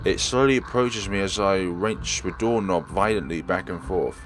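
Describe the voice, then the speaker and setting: A man's voice: low-pitched, moderate pace, a little hiss or hum. A man narrating a horror game as a let's player, reading the script aloud theatrically and adding his own commentary.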